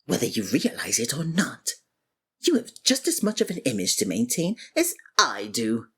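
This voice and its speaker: A woman with an unusually deep, breathy voice delivers a scripted villain roleplay. Her pitch leaps wildly between low grumbles and high exclamations. The delivery is theatrical and exasperated. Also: huge pitch swings, normal speed, a bit bright. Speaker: ASMR voice actor playing a villain.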